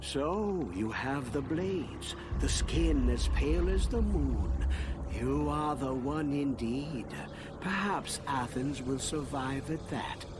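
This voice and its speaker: An actor playing a cryptic, knowing old gravedigger in a video game. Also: male voice, medium pitch, lively intonation, takes it slowly, noisy background. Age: older.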